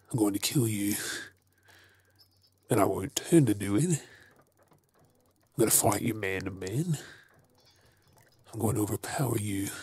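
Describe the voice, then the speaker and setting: An exhausted but defiant Australian werewolf held captive , acted by a man in an ASMR drama. He speaks slowly in an extremely raspy, breathy voice that often drops to a whisper. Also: lowish voice, darkish tone, exaggerated voice. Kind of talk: ASMR audio drama acting.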